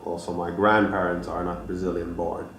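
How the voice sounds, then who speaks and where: A deep-voiced man in his thirties from Finland, a native Swedish speaker, answering interview questions in a calm, level tone. He talks in a relaxed, reflective way and restarts his sentences. The lapel mic picks up a roomy echo with a faint hum of ambience. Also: moderate pace. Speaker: guest. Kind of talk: casual interview talk. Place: small studio room, seated in armchair.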